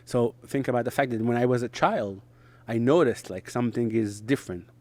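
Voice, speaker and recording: A middle-aged man from Israel, a native Hebrew speaker, talking in English as an interview guest in short, halting phrases with frequent restarts. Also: medium pitch, darkish tone. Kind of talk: conversational interview.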